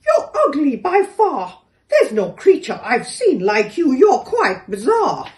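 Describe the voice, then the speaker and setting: A woman voicing a bewildered mother kangaroo who is shrill and overwrought, half laughing and half sobbing. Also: wildly swooping pitch, slow pace, a little mellow, exaggerated voice. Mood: indignant. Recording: echoey room, distant mic.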